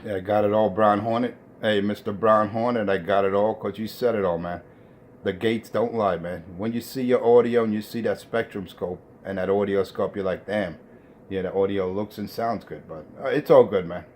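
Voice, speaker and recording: Deep-voiced man in casual radio chat. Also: fairly even intonation, moderate pace, a little mellow, slight background noise. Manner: says "man" often, affirming. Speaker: radio contact.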